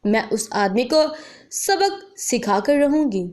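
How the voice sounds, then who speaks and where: A woman narrator plays a proud young village bride-to-be in a dramatic story. She is defiant and outspoken, with a fairly high, lively voice that swings with feeling. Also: takes it slowly. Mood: vengeful resolve. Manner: blunt, outspoken.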